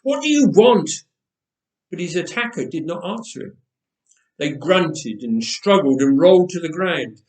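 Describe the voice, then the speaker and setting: A man reading a story aloud with expressive, dramatic pauses, recorded in an echoey church. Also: on the high side, far from the mic. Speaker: storyteller, reader. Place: church, indoors.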